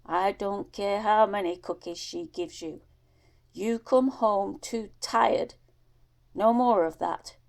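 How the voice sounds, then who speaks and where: A woman's voice: medium pitch, slow, vocal fry, a little mellow. Stern scolding father, voiced by a woman narrator. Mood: stern warning. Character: stern, gruff father laying down the law. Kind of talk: acted audiobook dialogue.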